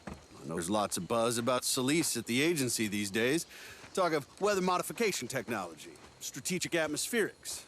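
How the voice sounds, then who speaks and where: A man's voice: medium pitch, sing-song, brisk, a bit bright. Game role: persistent male spy, wry casual briefing, very varied intonation, faint noise. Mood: wry, explaining. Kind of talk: video game cutscene acting.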